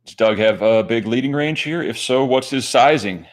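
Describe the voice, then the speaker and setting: Laid-back Midwestern American poker commentator. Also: male voice, mid-range voice, normal speed. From Michigan, USA. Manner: joking, laid-back banter.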